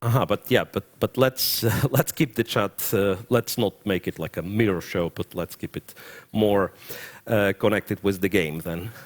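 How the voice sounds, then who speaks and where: A man's voice: lowish voice, normal speed. A young adult man with a Ukrainian accent, giving live commentary on a chess game, thinking aloud and often stopping to correct himself. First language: Russian.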